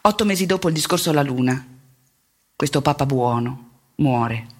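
A woman performing a narrative theatre monologue, telling it in a deliberate, measured way with emphatic phrasing. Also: rumbling low, lively intonation, slightly rough. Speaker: stage actor and narrator.

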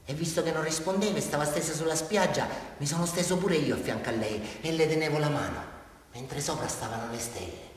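Echoey, distant actor as rambling southern Italian killer. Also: male voice, a little high, brisk, close to an everyday voice. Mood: tender, dreamy. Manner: rambling, repetitive, childlike, addressing his listener. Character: deranged, naive southern Italian murderer confessing to a police sergeant. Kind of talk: theatrical radio drama monologue. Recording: very echoey hall, far from mic.

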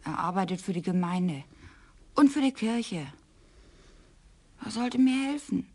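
An actress playing a distraught wife who confesses in a halting, emotional way in a radio play. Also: female voice, medium pitch, expressive, a little mellow.

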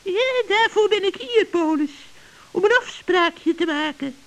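A woman's voice: very high voice, lively intonation, unhurried, full character voice. A woman voicing a scheming, cackling witch in an old children's radio play, fawning and tripping over her words. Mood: sly.

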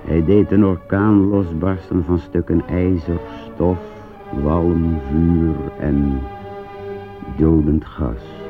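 A man narrating a war drama for radio in a grave, measured, declamatory manner. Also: deep voice, fairly even intonation, phone-quality sound. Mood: grave, solemn. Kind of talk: solemn scripted radio play narration. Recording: phone-thin old radio recording. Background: music.

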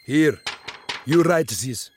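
An actor playing a curt Syrian prison guard in a radio drama, giving terse commands in broken English. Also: male voice, on the high side, animated, slow pace, darkish tone, soft background music. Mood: commanding, stern.

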